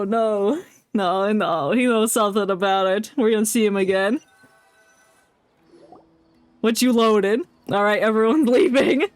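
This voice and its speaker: Joking woman streamer over game music. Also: fairly high pitch. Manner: joking, rambling, mimicking characters. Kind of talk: casual live game commentary.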